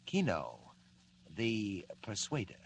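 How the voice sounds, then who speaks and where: Gang boss, vintage radio serial. Articulate, taunting, menacing, lively intonation, faintly noisy old recording. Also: male voice, on the low side, slow, creaky, exaggerated voice. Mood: coldly gloating. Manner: taunting, mock-courteous, articulate. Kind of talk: 1940s radio serial acting.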